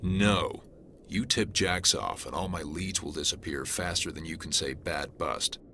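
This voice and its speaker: An actor playing a hard-nosed alien police detective in a video game, brusque and pragmatic, with clipped delivery. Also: male voice, low voice, unhurried, pushed and bright, slight background noise, heavily acted.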